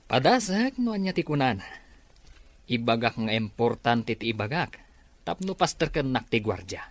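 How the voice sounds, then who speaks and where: An actor with an Ilocano accent playing a scheming, impatient villain after money in a radio drama, menacing and agitated. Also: male voice, mid-range voice, big pitch swings, normal speed, no echo.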